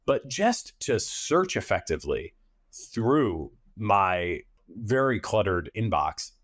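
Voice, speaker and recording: A man's voice: lowish voice, sing-song, slow, no echo, clean studio sound. A man, asking long conversational questions as an interview host. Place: indoors on a video call.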